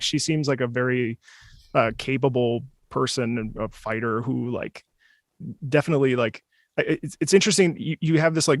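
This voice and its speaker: A man's voice: medium pitch, dry recording. A man hosting a music reaction show, chatting casually with curious, enthusiastic commentary.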